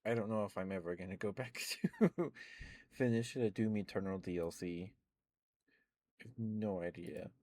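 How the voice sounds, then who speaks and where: A young man, an ASMR streamer, rambling softly in casual chat with frequent chuckles. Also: fairly low pitch, moderate pace, long pauses, dry recording, studio quality. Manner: soft-spoken, rambling, chuckles often.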